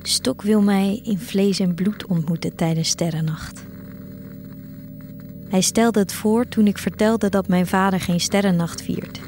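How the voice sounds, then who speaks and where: A woman's voice: medium pitch, fairly even intonation, measured pace, darkish tone, a little hiss or hum, natural voice. A woman playing a yearning teenage girl who tells her own story in a scripted audio drama. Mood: reflective, intimate. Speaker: narrator and voice actor of an audio drama. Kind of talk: scripted first-person narration, audio drama.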